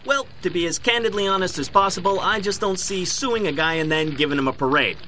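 Evasive, high-pitched bumbling mayor. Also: male voice, fairly quick, bright, forceful tone, a little hiss or hum, rough recording. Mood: matter-of-fact, hedging. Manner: hedging, folksy bureaucratic patter. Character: evasive, bumbling male big-city mayor at press conference. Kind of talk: comedic audio drama.

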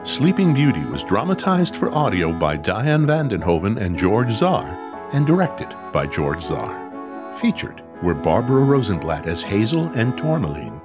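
A man's voice: on the low side, big pitch swings, a little slow. A man announcing in the style of scripted audio drama credits. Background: music.

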